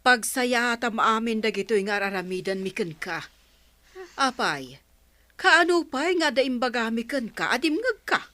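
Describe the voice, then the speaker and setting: A woman's voice: fairly high pitch, expressive, measured pace, pushed and bright. A woman with an Ilocano accent playing a worried, reproachful village mother in a radio drama.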